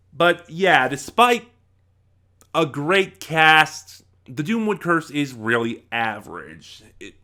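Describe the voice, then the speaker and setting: A man giving an informal spoken review, thinking aloud in a halting, emphatic way. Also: medium pitch, bright, forceful tone. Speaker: review channel host.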